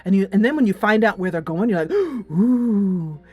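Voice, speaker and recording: A middle-aged woman giving a casual, giggly video game review, animated and emphatic, drawing out words for effect. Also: medium pitch, normal speed, slightly rough, no echo.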